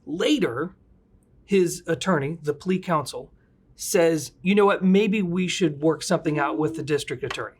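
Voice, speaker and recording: A man's voice: on the high side, moderate pace, slightly rough. Chatty joking male podcast host. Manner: chatty, joking, retells cases loosely. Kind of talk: casual legal talk.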